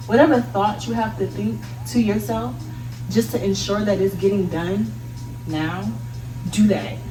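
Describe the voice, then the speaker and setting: Roomy-sounding young woman in casual girl talk. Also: mid-range voice. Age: young adult. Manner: emphatic, intimate pep-talk delivery, addressing listener as girl and baby. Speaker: vlogger. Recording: roomy echo, distant mic, music behind. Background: background music.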